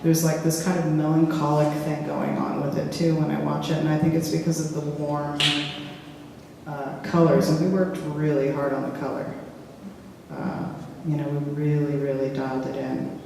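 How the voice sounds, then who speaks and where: A woman filmmaker speaking casually in a public discussion, halting and searching for words with frequent restarts. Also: sits low, level tone, gravelly, dark tone. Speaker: filmmaker in a post-screening discussion. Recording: echoey hall from far off, faint hiss.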